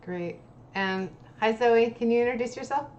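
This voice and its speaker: A woman's voice: on the high side, normal speed, a little mellow, muffled recording, slight background noise. A woman co-hosting and moderating a streamed artist salon over a video call, with many fillers. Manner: friendly, filler-heavy moderator. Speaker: co-host.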